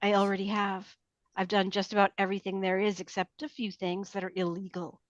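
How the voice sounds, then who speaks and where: A woman acts a provocative, sullen teenage outcast girl in a script read. The pitch is mid-range and the delivery blunt. The sound is dry and a little muffled. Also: little pitch movement, brisk, no echo, close to an everyday voice. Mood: brazen. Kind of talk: table read of a film script.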